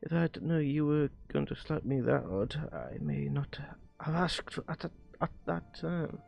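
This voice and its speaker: A man streaming a visual novel, reading its dialogue aloud in a halting way with stumbles and rereads. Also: on the high side, gravelly, highs cut off, soft background music.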